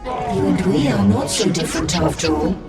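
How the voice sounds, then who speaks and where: Gravelly hive-monster villain, super polite and creepy, echoey and far off over loud game music. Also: male voice, high-pitched, expressive, normal speed. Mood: menacing calm. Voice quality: gravelly, speaks as "we". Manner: formal, courteous, menacing. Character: monstrous collective male abomination, coldly polite. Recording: echoey, distant, loud score behind.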